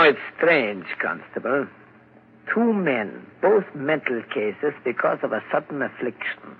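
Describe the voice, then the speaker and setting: An actor playing a calm, measured doctor in a 1940s radio drama, with a husky voice. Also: male voice, medium pitch, slight background noise. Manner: slow, measured and soothing. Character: calm male asylum doctor. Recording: dull old radio recording.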